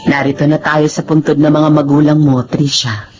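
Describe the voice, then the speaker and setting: A woman playing a kindly, encouraging middle-aged Filipino aunt in a drama, motherly and expressive. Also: deep voice, normal speed, music in the background, close to an everyday voice. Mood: calm. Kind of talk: Filipino radio-style drama acting.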